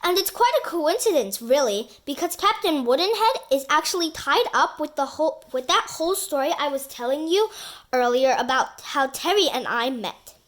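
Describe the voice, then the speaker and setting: A woman reads a children's storybook aloud. Her voice is high and her intonation swings widely, with an expressive delivery made for young listeners. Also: moderate pace. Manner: expressive, shifts into character voices for dialogue. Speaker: storybook reader. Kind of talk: animated read-aloud of a children's book.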